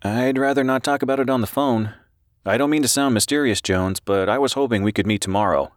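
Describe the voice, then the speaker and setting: A man voicing a nervous, earnest newspaper reporter in an audiobook, hurried and apologetic. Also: medium pitch, dry recording, clean studio sound, voice pushed up, lightly acted. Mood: pleading. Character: nervous, pleading male newspaper reporter on a phone call. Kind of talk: audiobook character dialogue.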